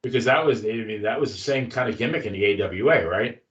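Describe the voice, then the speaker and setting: A man's voice: lowish voice, fast, a bit bright, distant mic. A man co-hosting a wrestling talk show in casual chat, asking questions and readily agreeing. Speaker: wrestling podcast co-host. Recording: dull, roomy, off-mic.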